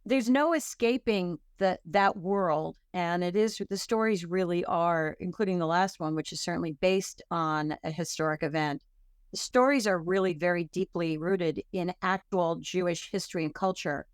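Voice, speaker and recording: A woman with a smooth, lowish voice talks through her ideas at an easy pace, stopping to rephrase as she goes. The sound is close and dry, with no room around it. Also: moderate pace, no echo. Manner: thoughtful, self-correcting, searching for words. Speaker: author guest. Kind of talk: podcast interview answers.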